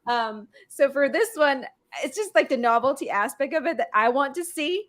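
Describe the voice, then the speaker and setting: A woman's voice: sits high, animated, normal speed. A woman from the Philippines co-hosting a podcast, talking casually and a bit hesitantly. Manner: easygoing, hesitant with many "um" and "you know". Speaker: podcast co-host.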